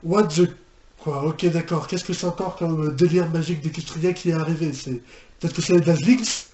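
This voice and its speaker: A young man giving unscripted reaction commentary, halting and repeating himself in an incredulous way. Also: a little high, fairly even intonation, warm tone, faint music behind. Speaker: reaction video host. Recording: echoey room with background noise.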